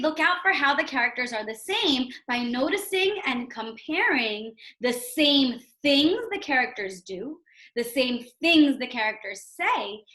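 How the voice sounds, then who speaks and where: A woman's voice: high-pitched, sharp tone. A woman teacher giving a read-aloud lesson for young children, instructive and emphatic, often checking in with a questioning tag. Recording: roomy, echoing sound.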